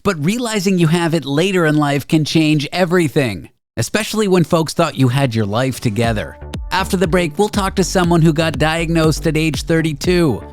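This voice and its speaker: A man hosting a radio talk show, putting quick, conversational questions to a guest and introducing the next segment. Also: on the high side, dry recording.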